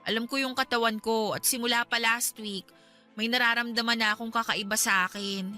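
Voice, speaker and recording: A woman's voice: a little high, fairly even intonation, a little fast, pushed and bright. An actress playing a hurt, defiant young pregnant woman in a Tagalog radio drama, speaking with emotional reproach. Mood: anxious, upset. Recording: music bed behind the voice.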